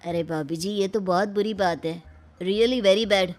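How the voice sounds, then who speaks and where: A woman's voice: medium pitch, measured pace, faint music behind, natural voice. A woman voicing bold, teasing young characters in a Hindi cartoon story.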